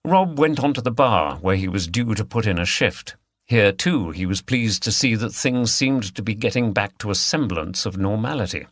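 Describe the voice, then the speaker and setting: A man's voice: fairly low pitch, expressive, moderate pace, dry recording. A man reading scripted third-person story narration as an audiobook narrator.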